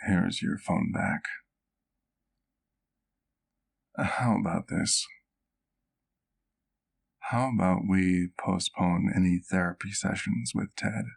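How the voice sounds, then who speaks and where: A man playing a gentle, protective vampire doctor in an ASMR roleplay, speaking in a soft, measured, careful way. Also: low-pitched, gravelly, exaggerated voice. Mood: reassuring.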